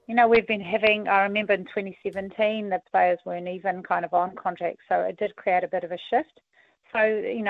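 A woman in her forties with a husky voice, a rugby union deputy chair giving relaxed, filler-heavy radio interview answers. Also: lowish voice, no echo. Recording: tinny phone line.

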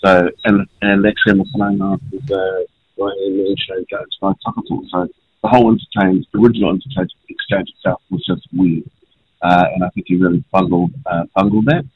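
A deep, gravelly man with a New Zealand accent calls in from a train. His husky voice swings widely in pitch as he argues his point with passion. It all comes down a tinny phone line. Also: flat delivery, a little fast, no echo. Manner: impassioned, pointed. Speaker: politician guest. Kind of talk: phone-in interview.

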